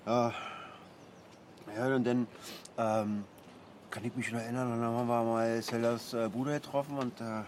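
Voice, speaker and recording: An actor playing an easygoing middle-aged father in a radio drama, rambling haltingly with a Berlin accent. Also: medium pitch, little pitch movement, a little mellow, close to an everyday voice. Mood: reminiscing. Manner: colloquial, halting, chatty. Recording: clear street and traffic noise.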